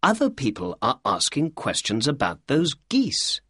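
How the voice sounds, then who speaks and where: A narrator playing a confident, shrewd male detective in an audiobook story, courteous, deliberate and assured. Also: medium pitch, wildly swooping pitch, fairly bright tone, slightly rough, no room sound, studio quality, full character voice. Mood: amused. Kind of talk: audiobook character dialogue.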